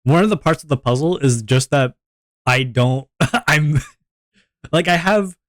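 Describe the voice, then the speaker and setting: A man with a deep voice, streaming and chatting casually to his viewers in a rambling, self-reflective way, often repeating his words. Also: lively intonation, a little slow, a bit bright, dry recording, clean studio sound.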